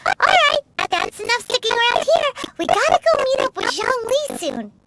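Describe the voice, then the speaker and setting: A woman voicing a tiny, chirpy fairy companion in a game who talks about herself in the third person, with loud game music behind. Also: very high voice, sing-song, normal speed, very noisy. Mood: eager.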